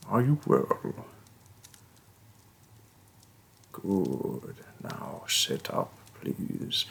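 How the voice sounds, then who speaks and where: A man playing a hulking, patient orc shaman and healer in a fantasy roleplay audio, speaking in a gravelly, breathy voice with a slow, deliberate and reassuring delivery. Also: exaggerated voice. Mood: gently caring. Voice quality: gravelly, husky, breathy low voice. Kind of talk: fantasy roleplay audio acting, addressing the listener.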